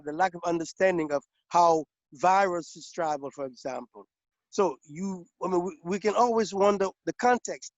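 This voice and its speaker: A man from Liberia speaking up in a webinar, making a long, halting comment full of restarts and repeated words over a video call. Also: sits high, no echo. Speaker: webinar participant. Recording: dull, lo-fi video call audio.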